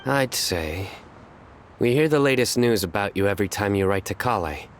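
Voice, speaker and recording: A man as a stoic low-voiced guard. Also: animated, a little hiss or hum, lightly acted. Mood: calm, sincere. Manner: terse, measured. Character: stoic, formal, measured male guard, sincere. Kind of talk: video game character voice acting.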